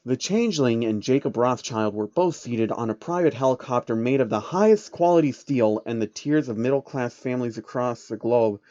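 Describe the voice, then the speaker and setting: A man narrating a comedic fan story in a deadpan way, with wry asides. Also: medium pitch, moderate pace, hardly any pauses, dry recording, lo-fi sound.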